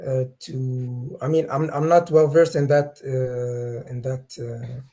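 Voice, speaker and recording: A caller to a live debate answers with halting, hesitant speech. He has a mellow, darker voice at an ordinary pitch and falls back on fillers and restarts as he tries to keep up. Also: steady tone.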